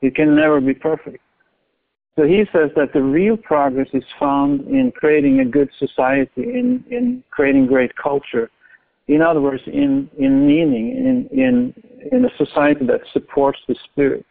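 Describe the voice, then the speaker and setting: Gravelly man on a tinny phone line. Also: on the high side, lively intonation, moderate pace. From Norway. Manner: thoughtful, many restarts and fillers. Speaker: author guest. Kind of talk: interview talk.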